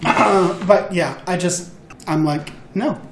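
Podcast cohost chatting casually, sweary n animated, echoey room, bit of background noise. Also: mid-range voice, slow. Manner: animated, sweary storytelling with mock quotes. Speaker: podcast co-host. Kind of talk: casual group chat. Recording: roomy echo, audible background noise.

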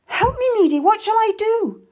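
Casting: woman to play young mother in agonizing labour, desperate and breathless, high voice, historical story. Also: expressive, moderate pace, a little mellow. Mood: desperate panic. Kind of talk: dramatic historical audiobook.

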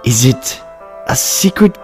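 A man playing an eager teenage vampire little brother in a fantasy audio drama, excitable and wheedling. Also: takes it slowly, soft background music, natural voice. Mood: pleading.

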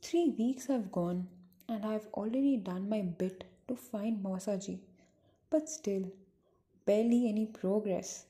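A woman's voice: medium pitch, a little slow, dark tone, close to an everyday voice. A woman playing a worried, earnest niece burdened by a sad secret in an amateur radio drama. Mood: sorrowful. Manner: earnest, grave.

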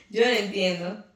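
A woman's voice: medium pitch, far from the mic. A woman with a Colombian Caribbean coast accent, co-hosting a casual podcast chat, laughing often and teasing. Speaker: podcast co-host. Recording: echoey room, off-mic.

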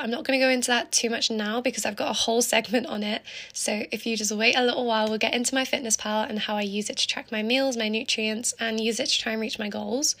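A teenage girl with an English accent hosting a casual solo podcast, hoarse and raspy from a cold, chatty and rambling off on tangents. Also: on the high side, fairly bright tone. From England. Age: teens. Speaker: podcast host.

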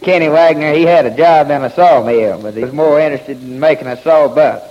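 A man's voice: on the high side, brisk. Echoing man, dull recording. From Southern US. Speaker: local eyewitness. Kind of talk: folksy recounting on old radio.

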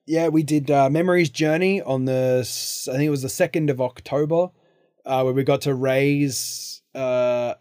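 A young man co-hosting a card game podcast, chatty with quick asides and frequent laughter. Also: mid-range voice, fairly even intonation, a little mellow, clean tone, dry recording, clean studio sound. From Australia. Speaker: podcast co-host. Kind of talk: casual chat.

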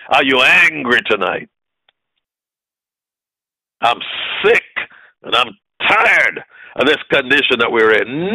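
A man's voice: mid-range voice, sharp tone, no room sound, rough recording. A man preaching a fervent sermon, emphatic and repeating short phrases for effect.

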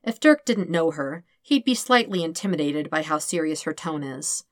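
A woman's voice: low-pitched, animated, moderate pace, dry recording, clean studio sound. A woman reading scripted fiction as an audiobook narrator.

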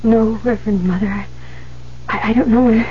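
An actress playing a meek, troubled young nun in a 1970s radio drama, hesitant, stammering and earnest. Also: female voice, a little high, fairly even intonation, moderate pace, lightly acted. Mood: timid, puzzled. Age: young adult. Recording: dull, noisy 1970s radio recording.

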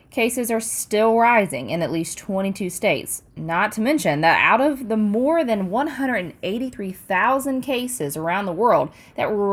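A woman's voice: mid-range voice, a bit bright. A woman hosting a news podcast, reading a scripted digest in a conversational, punchy way with wry asides.

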